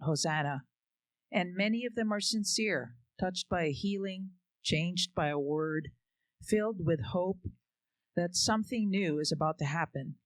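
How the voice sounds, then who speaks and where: A man's voice: on the high side, fairly bright tone, no echo. A man giving an earnest, reflective scripted reading. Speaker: reader.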